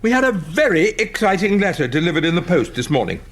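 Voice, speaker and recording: An actor playing a pompous, old-fashioned, bookish English museum director in a radio sitcom, with florid, erudite speech. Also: male voice, sits high, sing-song, fast talker. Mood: fussy, excited.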